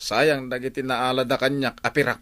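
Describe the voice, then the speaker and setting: A man's voice: mid-range voice, little pitch movement, moderate pace, a bit bright, dry recording, studio quality, natural voice. A man playing a stubborn, lazy gambling husband and father in an Ilocano comedy radio drama, blustering and complaining. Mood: grumbling, defiant.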